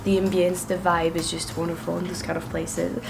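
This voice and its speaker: A young French woman vlogging her travels in casual chat, slightly hoarse from a cold and full of fillers and restarts. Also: fairly low pitch, little pitch movement, a little fast, noisy background. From France. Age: young adult. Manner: chatty, with many fillers and restarts.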